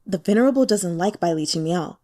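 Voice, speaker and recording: A woman's voice: fairly low pitch, expressive, fairly quick, mellow, lightly acted. A woman voicing a proud, haughty male sect leader in an audiobook, stiff and disdainful.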